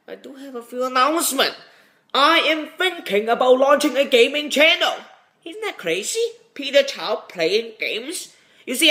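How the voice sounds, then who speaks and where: A man's voice: extremely high pitch, sharp tone. A young man vlogging to a handheld camera, talking in an exaggerated put-on comic accent with a brash, joking manner. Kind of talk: comedic vlog talk to camera. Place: indoors, small room then convention hall. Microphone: phone or webcam at arm's length.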